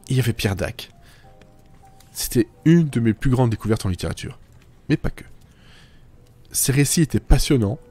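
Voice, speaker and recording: A man's voice: medium pitch, a little mellow. A man narrating a scripted humour podcast in a wry, self-deprecating manner.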